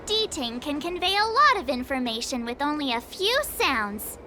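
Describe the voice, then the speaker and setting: A woman voicing a sweet, cheerful young girl who loves cute animals, expressive and playful, in a video game. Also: high-pitched, moderate pace, soft background music, heavily acted. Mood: curious, thoughtful. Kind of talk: video game character voice acting.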